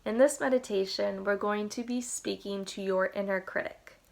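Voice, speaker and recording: A woman guiding a meditation in a soothing, unhurried, instructional way. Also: medium pitch, fairly even intonation, vocal fry, a little mellow. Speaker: meditation guide. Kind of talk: guided meditation narration.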